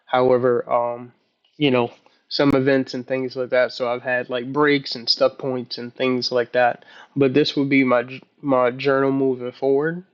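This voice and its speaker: Man with flat pitch, halting delivery. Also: muffled recording. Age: early 30s. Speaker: vlogger. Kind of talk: casual, personal video journal.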